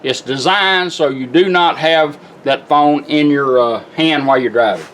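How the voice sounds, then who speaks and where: A man's voice: fairly high pitch, unhurried, a bit bright, a little hiss or hum. A plainspoken, folksy man giving a casual, step-by-step hands-on product demonstration. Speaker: product demonstrator and car radio rebuilder.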